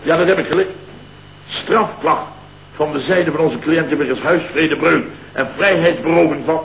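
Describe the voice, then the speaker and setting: A man's voice: on the high side, normal speed, vocal fry, fairly bright tone. An actor playing an exasperated, easily flustered office boss in a radio comedy from around 1970, sputtering and repeating his words. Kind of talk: 1970s Dutch radio comedy acting. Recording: dull, noisy, roomy old radio recording.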